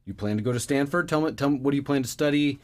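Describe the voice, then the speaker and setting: A man's voice: medium pitch, racing. A man interviewing a student for a local news outlet, asking questions casually and stumbling over his words now and then.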